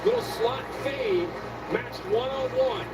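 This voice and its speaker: A man's voice: very high-pitched, huge pitch swings, slow pace. A man giving broadcast color commentary, breaking down football plays. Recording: TV broadcast heard from a distance, loud music.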